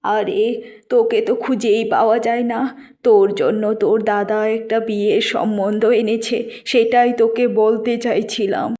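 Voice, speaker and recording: A woman playing a kindly, fretting middle-aged mother in a village cartoon, speaking in an unhurried way. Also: fairly high pitch, fairly even intonation, dark tone, close to an everyday voice.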